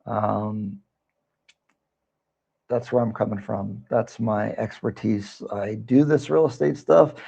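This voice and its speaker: Male livestream host, casual and rambling, gravelly mellow voice. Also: on the low side, steady tone, takes it slowly, long pauses, highs cut off. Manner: rambling, conversational, reads viewer comments aloud. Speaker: livestream host, real estate broker.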